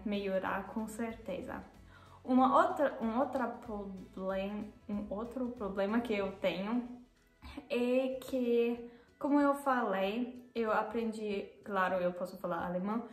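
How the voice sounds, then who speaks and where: A young woman from Germany speaking with a German accent, who often pauses and corrects herself and laughs at her own mistakes. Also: medium pitch, steady tone, reverberant, faint music behind. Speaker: vlogger. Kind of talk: casual vlog talk to camera. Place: living room, seated on a sofa.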